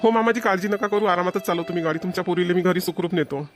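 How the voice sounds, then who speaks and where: A polite young cartoon son-in-law, played by a man, speaks quickly in a raised male pitch. He is respectful and reassuring, with a Vidarbha Marathi accent. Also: faint music behind, natural voice. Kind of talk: amateur cartoon voice acting.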